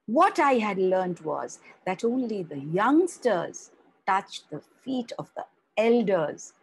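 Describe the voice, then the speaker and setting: A woman narrating a story for children in a slow, expressive reading voice. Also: gravelly, husky, rough recording. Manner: slow, expressive reading with wide swings of pitch.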